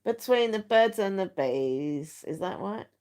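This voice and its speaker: A woman's voice: mid-range voice, lively intonation, a little slow, darkish tone. A woman in her forties hosting a livestream, chatting casually, laughing often and trailing off mid-sentence. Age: 40s. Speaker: livestream host. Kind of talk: casual chat.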